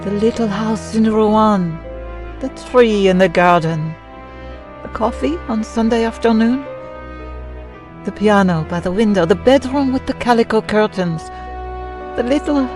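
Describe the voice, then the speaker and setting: An actress playing a madman's devoted, long-suffering wife in a radio drama, deliberate and imploring. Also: mid-range voice, music in the background, close to an everyday voice. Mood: urgent coaxing. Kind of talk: old-time radio drama acting.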